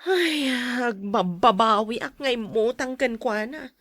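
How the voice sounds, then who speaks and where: A woman's voice: a little high, lively intonation, measured pace, a bit bright, no room sound, studio quality. A woman acting a hard-pressed debtor in a radio drama, meek and pleading. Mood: aggrieved.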